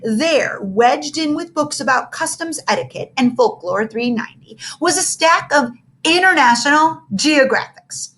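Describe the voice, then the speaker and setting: A woman reading a children's book aloud in an expressive storytelling style. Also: sits high, a little slow, few pauses. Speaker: storybook reader.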